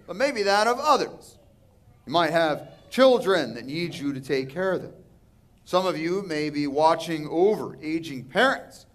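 A man's voice: fairly high pitch, a bit bright. A man in his thirties with a Texan accent, preaching a sermon earnestly from a church pulpit. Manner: earnest preaching with rising and falling emphasis. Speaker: pastor. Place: large church sanctuary, indoors. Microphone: pulpit microphone.

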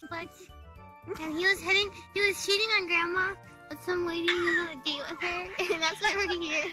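A woman with a childlike high voice, doing playful family role-play banter in a teasing, mock-scolding way. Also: fairly even intonation, fairly quick, sharp tone. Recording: music clearly behind the voice.

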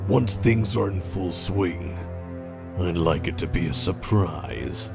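A low, heavily creaky villain lord from an anime audio drama played by a man. He speaks slowly and coldly, full of scorn and menace, with music playing behind him. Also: lively intonation, exaggerated voice. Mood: cold, scornful. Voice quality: gravelly.

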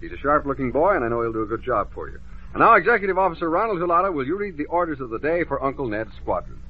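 A man's voice: a little high, big pitch swings, racing, breathy edge. An American man working as an announcer and co-host on a 1950s radio show. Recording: muffled vintage 1950s radio recording.

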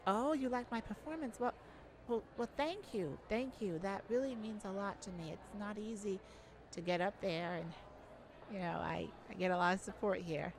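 A woman's voice: mid-range voice, moderate pace, noisy background, lightly acted. A woman voicing an affectionate, modest young male bar singer in an intimate ASMR roleplay, sweet-talking and hesitant. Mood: nervous, polite.

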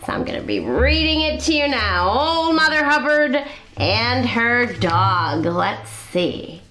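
Animated, high-voiced woman reading to children. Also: echoey room, soft background music. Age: middle-aged. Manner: expressive, deliberate, explains words for young listeners. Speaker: children's storytime host. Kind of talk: read-aloud picture-book storytelling for children. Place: small indoor classroom-style set.

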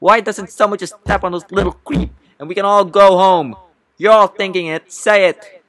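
A man acting a vain, volatile tough-guy film star with a threatening, forceful delivery. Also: on the high side, brisk, no room sound, pitched above the performer's own voice, lightly acted. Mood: menacing, furious. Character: volatile, vain tough-guy male actor, ready to blow.

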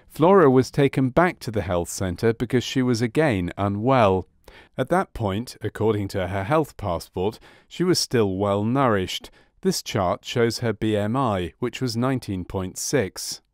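Low-pitched man narrating, dry studio sound. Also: animated, unhurried, no room sound. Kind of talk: scripted documentary narration of a medical case study.

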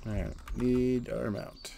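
A man's voice: medium pitch, steady tone, takes it slowly, creaky, warm tone. A man giving casual gameplay commentary in an offhand, muttering way. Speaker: gaming streamer. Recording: constant game noise behind. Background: fantasy video game sounds.

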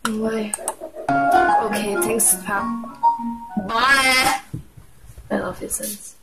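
A woman giving a playful, giggly, halting read-aloud dub of a comic, acting out the characters. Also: mid-range voice, steady tone. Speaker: amateur comic dubber. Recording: homemade recording with loud music.

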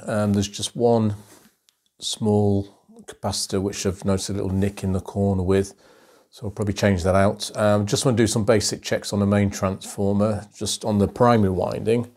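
Casting note: middle-aged man, lowish voice, unhurried workshop commentary, fillers, bench camera mic. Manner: calm, methodical, many ums and uhs. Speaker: electronics restorer. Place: cluttered home workshop.

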